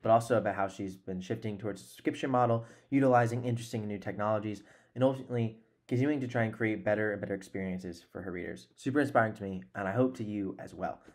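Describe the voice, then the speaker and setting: A man's voice: on the low side, fast talker. A man in his twenties hosting a podcast in a conversational, earnest and encouraging way, close on a desk microphone. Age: 20s. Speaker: podcast host. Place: small room at home, indoors.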